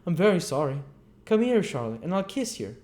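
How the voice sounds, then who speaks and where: A man's voice: sits high, very animated, brisk, a little mellow, voice pushed up. A man voicing a tipsy, whining young male apprentice who sulks and pities himself. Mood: smug. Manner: whining, sulky, self-pitying.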